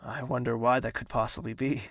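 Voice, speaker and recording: An actor playing a young prince in an audio drama, speaking in a measured, formal way. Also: male voice, mid-range voice, flat delivery, dry recording, studio quality, close to an everyday voice. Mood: tender, teasing. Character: young prince, tender in private, commanding with subordinates.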